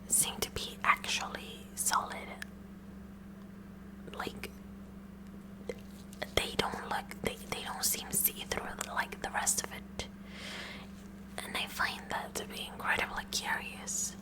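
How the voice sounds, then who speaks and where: A woman playing a kooky, curious high school science club girl in a whispered ASMR roleplay, musing haltingly with clinical fascination in a breathy whisper. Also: natural voice, poor audio. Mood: intensely curious. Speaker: ASMR performer.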